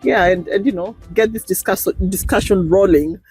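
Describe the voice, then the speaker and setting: A woman's voice: medium pitch, moderate pace, a little mellow, husky, soft background music. A middle-aged woman with a Kenyan accent, a guest chatting casually with many fillers and frequent laughs. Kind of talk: casual interview chat. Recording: noisy background.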